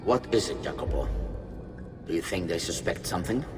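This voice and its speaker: Deep, commanding conspirator leader, echoing. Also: male voice, animated, a little fast. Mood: guarded. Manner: formal, authoritative. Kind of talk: video game cutscene acting. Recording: echoing stone hall, music behind.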